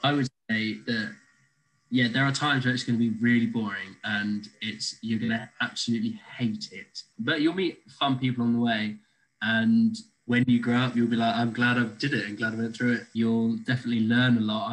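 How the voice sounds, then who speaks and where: Young English man, medium pitch, chatting. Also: fairly bright tone, poor audio. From Milton Keynes, England. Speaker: panel participant, actor. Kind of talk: casual personal reflection. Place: remote group video call.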